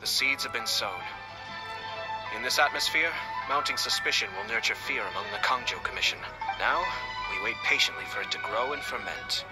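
An actor playing a composed, scheming young nobleman in a video game, speaking in a measured, quietly confident way. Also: medium pitch, lightly acted, low-quality recording. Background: game music.

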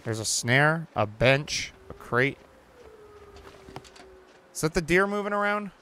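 A young man giving casual, chatty commentary over a survival game, reacting out loud and swearing now and then. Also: fairly high pitch, big pitch swings, slow. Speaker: gaming channel host. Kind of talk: casual let's-play commentary. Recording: faint game ambience behind.

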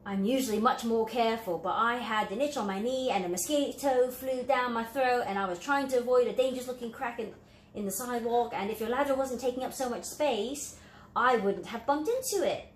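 A woman's voice: on the high side, a little fast, pitched above the performer's own voice, close to an everyday voice. A woman voicing a clumsy storybook hippo with a defensive, rambling manner, full of excuses. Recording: roomy echo, light background noise.

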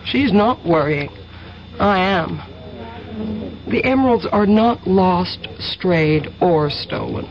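A woman's voice: fairly low pitch, expressive, slow. An actress playing a poised, considerate young woman in a radio drama, with a polished way of speaking. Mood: worried. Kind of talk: 1970s radio drama acting. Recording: dull, faintly noisy 1970s radio recording.

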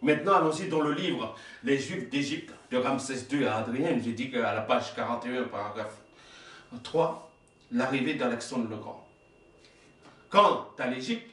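Emphatic preacher in an echoey room. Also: male voice, medium pitch, fairly bright tone, far from the mic. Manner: emphatic, gesturing, rhetorical questions, stumbles and self-corrects. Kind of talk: sermon reading and explaining texts. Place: small indoor worship room.

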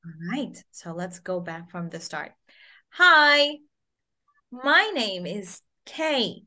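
A woman in her twenties from the Philippines, teaching English to a child over a video call and modelling phrases slowly in a sing-song way. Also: medium pitch, sing-song, bright, forceful tone. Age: 20s.

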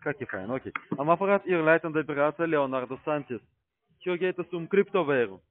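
A man with a foreign accent, a non-native speaker, pushing an insistent, questioning sales pitch. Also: expressive, fairly quick. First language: not German. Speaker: telesales investment agent. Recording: narrow, dry phone line.

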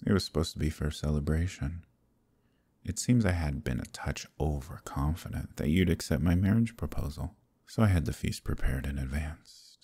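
A man voicing a proud, scheming demon king in an audio roleplay, talking in a slow, silky, menacing way. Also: mellow, full character voice. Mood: wistful. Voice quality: deep gravelly voice. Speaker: voice actor. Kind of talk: ASMR roleplay.

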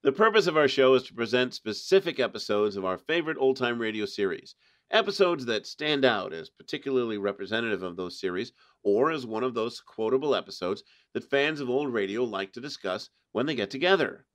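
A man's voice: mid-range voice, animated, brisk, a bit bright, lo-fi sound. A man speaking as a podcast host, delivering a scripted introduction.

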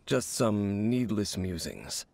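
A man voicing a brooding, mysterious wanderer in a video game, speaking in a solemn, measured, formal way. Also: on the low side, big pitch swings, creaky, darkish tone, exaggerated voice. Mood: grave, aloof. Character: brooding, mysterious male wanderer guarding old secrets. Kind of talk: English video game cutscene acting.

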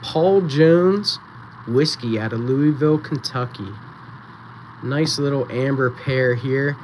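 A man hosting a live-streamed auction, chatting casually and counting down bids, with a voice going raspy. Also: mid-range voice, slow, darkish tone, slight background noise, low-quality recording. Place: small indoor photo booth setup.

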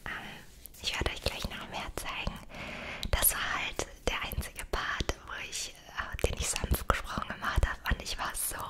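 A woman in her late twenties speaks in a soft, breathy whisper, chatting personally to viewers in a gentle, hesitant ASMR style, close to the microphones. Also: normal speed. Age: late 20s. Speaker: ASMR creator. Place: small indoor setup with dark backdrop. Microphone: two close microphones either side.

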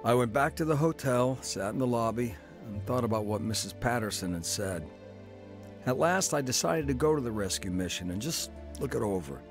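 A man's voice: medium pitch, animated, moderate pace, music in the background, lightly acted. An actor playing a down-on-his-luck man who narrates his own story in a radio drama. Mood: reflective.

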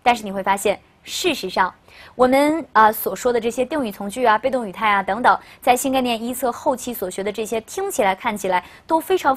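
Young female language teacher, higher-pitched voice, explanatory studio presentation with stressed key phrases. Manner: explanatory, addressing learners, emphasising key phrases. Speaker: English teacher. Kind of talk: scripted-style lesson presentation to camera. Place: indoor studio.

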